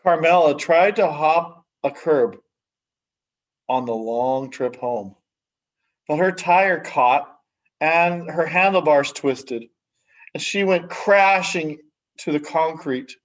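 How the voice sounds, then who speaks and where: A man reading a children's story aloud expressively, with measured, dramatic phrasing and hushed sentence endings. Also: fairly high pitch, low-quality recording. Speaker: story reader. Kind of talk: expressive children's picture book read-aloud.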